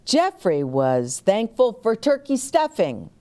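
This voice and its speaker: A woman storyteller reading a picture book aloud to young children, animated, with chatty explanatory asides. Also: mid-range voice, slow.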